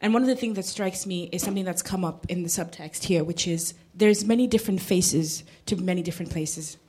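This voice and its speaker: A woman from Kenya making an audience comment at a public panel, speaking earnestly and haltingly, rephrasing as she goes. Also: on the low side, mellow, slightly rough. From Kenya, Nairobi. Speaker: audience member, conflict studies student.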